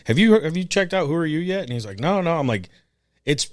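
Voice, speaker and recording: A man from Florida, a podcast co-host speaking as a guest, telling stories in a relaxed way with many fillers. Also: on the high side, brisk, dry recording, clean studio sound. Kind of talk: casual interview conversation.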